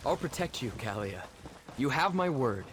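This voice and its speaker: A cocky, optimistic young male adventurer, played by a man, talks with a very animated voice. He is eager and sure of himself, as fantasy game dialogue with clear noise behind him. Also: mid-range voice, moderate pace, lightly acted. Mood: cajoling, confident. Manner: animated, confident. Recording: game dialogue over loud ambience.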